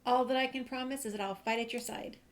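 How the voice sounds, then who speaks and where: A woman's voice: fairly high pitch, fairly even intonation, fast. A woman giving casual game walkthrough commentary, playfully thinking aloud and chatting to herself and the game. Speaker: gameplay video host. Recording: clear background noise, game sounds.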